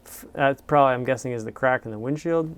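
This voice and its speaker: A man's voice: medium pitch, fast, smooth. A man hosting a casual car review show, rambling and self-deprecating with lots of filler words.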